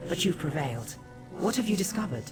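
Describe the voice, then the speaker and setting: Regal living goddess, lowish female voice, solemn and formal, fantasy game, loud music underneath. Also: big pitch swings, brisk. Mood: solemn, commanding. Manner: formal, stately delivery. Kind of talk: fantasy video game voice acting.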